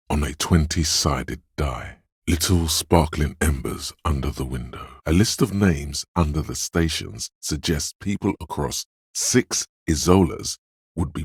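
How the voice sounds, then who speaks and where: A wry, watchful inner sense of perception from a game, speaking in an extremely low, heavily husky voice. He notes small details in measured, dry observations, close to the mic with no room sound. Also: a little mellow, no room sound, full character voice. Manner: measured, observant, dryly descriptive. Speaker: voice of a detective's perception skill. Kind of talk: scripted game voice lines.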